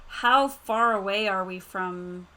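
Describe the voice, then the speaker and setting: A woman's voice: medium pitch, takes it slowly, sharp tone. A woman playing a tabletop roleplaying game, talking casually and reacting to the game master.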